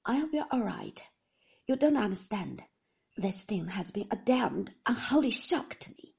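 Woman voicing a husky, jerky, sneering blackmailer. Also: mid-range voice, very animated, a little slow, darkish tone, full character voice. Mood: shaken. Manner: jerky, bitter, mocking. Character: shaken, sneering blackmailer man.

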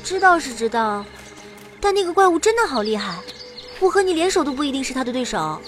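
High-voiced, perky young game heroine. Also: female voice, big pitch swings, brisk, fairly bright tone, faint music behind. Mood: sheepish. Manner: perky, theatrical, dramatic. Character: cute, cheeky, broke teenage girl game heroine. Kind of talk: audio drama with first-person narration.

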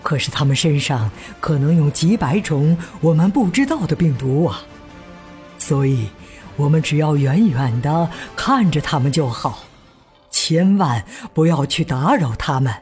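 An actor playing a gentle father who patiently explains things to his child in simple words, in a children's radio drama. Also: a little high, lively intonation, soft background music. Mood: patient, explaining. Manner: slow, simple explaining with soft sentence particles.

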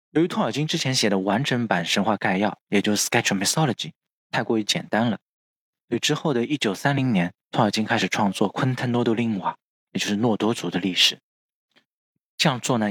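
A man hosting a podcast, explaining from notes and often ending phrases on soft filler particles. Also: lowish voice, breathy edge, dry recording. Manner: frequent drawn-out filler particles at phrase ends. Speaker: podcast host.

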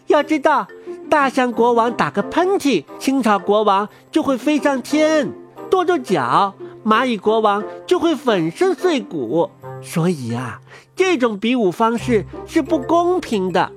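A woman narrating a children's story in an expressive storytelling manner. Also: a little high, unhurried. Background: music.

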